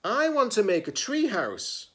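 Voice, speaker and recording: Man voicing a stubborn schoolgirl, pitched up. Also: wildly swooping pitch, unhurried, fairly bright tone, exaggerated voice. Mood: insistent. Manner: insistent, exclaiming. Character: stubborn, competitive schoolgirl builder in a storybook.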